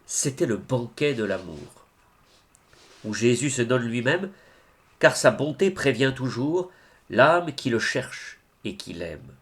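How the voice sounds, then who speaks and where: A man reciting religious poetry in a solemn, declamatory way, phrase by phrase with deliberate pauses. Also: medium pitch, sing-song. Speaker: reader of devotional poetry.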